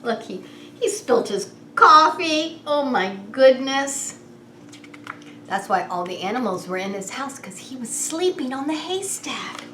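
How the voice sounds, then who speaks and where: A woman hosting story time as a preschool teacher, reading in a sing-song, animated way and coaxing small children with questions. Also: sits high, unhurried. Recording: roomy echo with some background noise.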